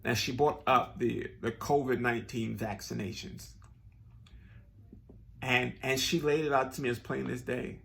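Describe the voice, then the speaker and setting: Earnest gravelly man with a stuffy nose. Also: mid-range voice, steady tone, unhurried, fairly bright tone. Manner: earnest, rambling with many restarts and fillers. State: slightly nasal and stuffed up. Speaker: vlogger. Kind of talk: casual personal talk to camera.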